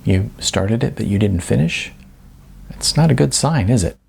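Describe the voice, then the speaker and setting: Man as a young woman filmmaker, guarded, earnest, defensive, probing, creaky husky voice, audiobook dialogue. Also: fairly low pitch, expressive, normal speed, a little mellow, full character voice. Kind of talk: audiobook character voice.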